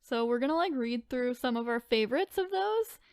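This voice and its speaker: A woman chats informally as a podcast co-host, reacting in a loose, casual way. Her voice sits fairly high, and the recording is close and dry. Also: lively intonation, a little fast, darkish tone, smooth, no echo. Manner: informal, reactive, frequent use of like. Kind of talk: casual chat.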